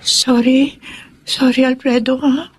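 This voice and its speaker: A woman's voice: sits high, flat delivery, slow pace, low-quality recording. A woman playing a sentimental middle-aged mother in love again, in a wistful, emotional radio drama style. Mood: pleading. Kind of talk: Tagalog radio drama acting.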